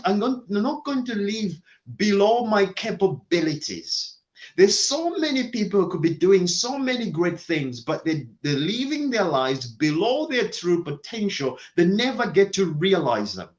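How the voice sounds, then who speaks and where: A man's voice: sits high, dull audio. A man giving a motivational talk, speaking emphatically and repeating his key phrases.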